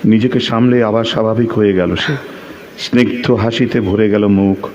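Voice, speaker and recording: Actor as a brooding, low-voiced lovesick man. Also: fairly even intonation, moderate pace, a little mellow, dull audio, a little hiss or hum, close to an everyday voice. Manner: theatrical, emotional, with repeated pleading phrases. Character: lovesick, brooding, quick-tempered man telling his own story. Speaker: audio drama actor and narrator. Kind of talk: dramatic first-person narration of a Bengali audio play.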